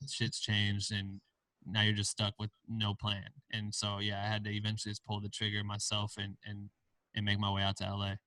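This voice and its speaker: A man's voice: fairly low pitch, completely flat delivery, normal speed, pushed and bright, no room sound, recorded from a distance. A man from Iowa, a podcast guest, telling stories in a laid-back way with casual slang. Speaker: podcast guest, film director and founder. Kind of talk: casual interview chat.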